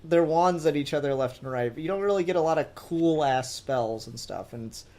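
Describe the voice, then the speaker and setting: A man's voice: on the high side, a little fast, darkish tone. A man hosting a casual pop-culture podcast, talking informally with geeky enthusiasm and some mild swearing. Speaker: podcast host.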